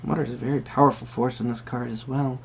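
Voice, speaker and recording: A performer playing a whimsical magical tarot reader, musing hesitantly in a soft-spoken roleplay with a creaky voice. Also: mid-range voice, little pitch movement, slight background noise, close to an everyday voice. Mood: musing, gentle. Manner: hesitant, musing, pausing with hums.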